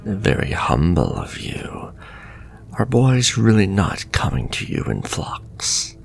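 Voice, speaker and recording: A man playing a suave, flirtatious, sinister warlock shopkeeper in a fantasy ASMR roleplay, speaking softly and courteously and drifting into breathy whispers. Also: deep voice, huge pitch swings, slow, faint music behind, full character voice. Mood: flirtatious, coaxing.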